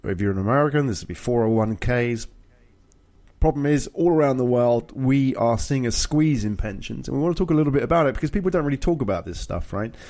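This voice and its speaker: A man's voice: mid-range voice, expressive, fast, a little mellow. British man explaining in conversational style. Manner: explanatory, punctuating points with check questions. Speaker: show host. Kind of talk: conversational discussion of personal finance.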